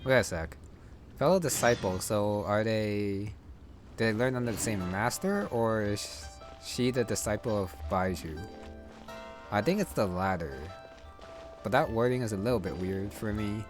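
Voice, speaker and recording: A man casually commenting while playing a game, thinking out loud as he goes. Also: on the low side, animated, moderate pace. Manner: musing, thinks aloud. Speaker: gaming youtuber. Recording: voice over game music.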